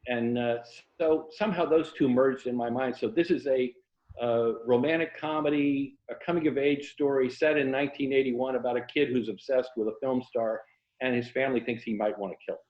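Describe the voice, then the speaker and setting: A man's voice: medium pitch, steady tone, fairly quick. Echoey, slightly muffled American man, reflective. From Florida, USA. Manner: reflective, anecdotal, self-deprecating. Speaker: interview guest, fiction writer and former journalist. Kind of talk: casual interview conversation about writing. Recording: echoey room, slightly dull lo-fi audio.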